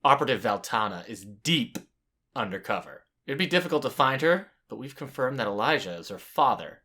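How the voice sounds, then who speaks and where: An actor playing a cocky, easygoing cyborg mercenary spy in a space audio drama. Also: male voice, medium pitch, sing-song, brisk, pushed and bright, slightly rough, exaggerated voice. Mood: businesslike.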